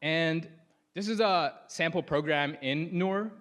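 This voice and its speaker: A man's voice: a little high, slow, a bit bright. A young man from Sudan, a native Arabic speaker, giving a casual conference talk on stage into a lapel mic, often using "like" and "you know".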